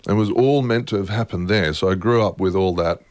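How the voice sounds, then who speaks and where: Man, low voice, dry close recording, wry, relaxed guest talk, middle-aged. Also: brisk, no room sound. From born in England, raised in Germany and Australia. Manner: wry, self-deprecating, chatty with laughs. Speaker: guest, opera music head. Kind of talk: relaxed conversational interview.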